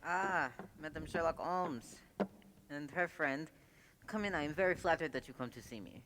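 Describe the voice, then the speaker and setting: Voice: mid-range voice, normal speed, close to an everyday voice. Actor doing police inspector, radio play, suave n snooty, mid voice, noisy recording. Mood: suave, flattering. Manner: courteous, condescending, formal. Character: suave, proud French male police inspector. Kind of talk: stage-style radio play acting.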